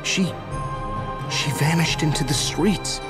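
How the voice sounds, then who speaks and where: A sincere, soft-hearted young bell ringer for a video game. His fairly high voice moves slowly and shyly. Music plays clearly behind him. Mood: wonder. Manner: soft-hearted, halting, earnest.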